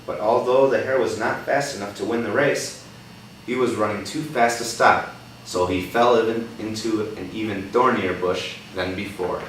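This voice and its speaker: A man with a slightly low voice reads a children's story aloud, stopping often to coax answers from his young listeners. He sounds patient and encouraging. The recording comes from across a very echoey room, with a noticeable hiss of background noise. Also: steady tone, normal speed, distant mic. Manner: patient, coaxing, checks in with "right?". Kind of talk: picture-book read-aloud with questions.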